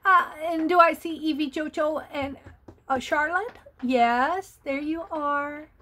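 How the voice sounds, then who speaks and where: A woman's voice: high-pitched, takes it slowly, bright, forceful tone. An older woman reading a picture book aloud to children, playful and chatty, greeting them and asking them questions. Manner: asks the young listeners questions. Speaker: storyteller for children.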